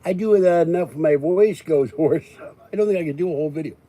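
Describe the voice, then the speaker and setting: An older American man hosting his own channel, talking in a rambling, casual way with jokey asides and frequent throat-clearing. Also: a little high, quick pace, warm tone. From Pittsburgh, United States. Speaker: YouTube channel host. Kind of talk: rambling casual monologue to viewers.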